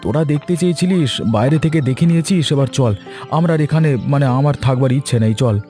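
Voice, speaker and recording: A man voicing a cautious, worried young man in an animated horror story, hesitant in manner. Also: a little high, a little fast, soft background music, natural voice. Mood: reluctant, uneasy. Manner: hesitant, uneasy.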